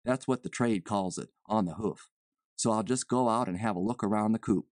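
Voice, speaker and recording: A woman's voice: rumbling low, normal speed, darkish tone, no echo, studio quality, pitched below the performer's own voice. A woman voicing a nervous, evasive man who poses as a chicken buyer, with folksy, ungrammatical, rambling talk. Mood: urgent, insistent.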